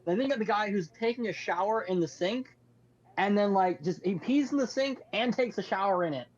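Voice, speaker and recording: A man's voice: high voice, expressive, fairly quick, dull audio. A man in casual podcast banter, bluntly telling crude stories. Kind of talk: casual bantering chat and storytelling.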